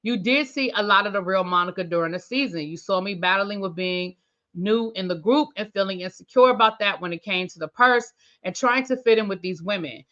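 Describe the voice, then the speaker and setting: A woman's voice: medium pitch, brisk, fairly bright tone, lo-fi sound. A woman hosting a casual reality-TV review livestream, reading an article aloud and breaking off for chatty asides. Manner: casual, chatty asides between read-out passages. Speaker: reality TV reviewer, channel host.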